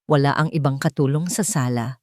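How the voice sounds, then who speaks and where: A woman's voice: rumbling low, brisk, no room sound, studio quality. A woman reading a scripted, dramatic love story as its narrator.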